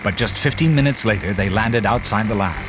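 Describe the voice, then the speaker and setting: A man with a fairly low voice narrates a children's adventure in a dramatic storytelling style. Loud music runs behind him. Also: animated, a little fast. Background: loud music and sound effects.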